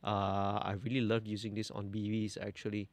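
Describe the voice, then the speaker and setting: A young man, talking through a mix on a studio livestream, relaxed and thinking aloud as he works. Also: fairly low pitch, moderate pace. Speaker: mixing engineer host. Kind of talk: livestream mixing tutorial. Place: indoor music studio.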